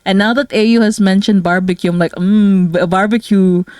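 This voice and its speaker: A woman's voice: medium pitch, steady tone, a little fast, a little mellow, clear, resonant tone, no room sound. A woman from the Philippines chatting casually on a livestream, rambling and giggling with lots of fillers. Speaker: streamer and artist.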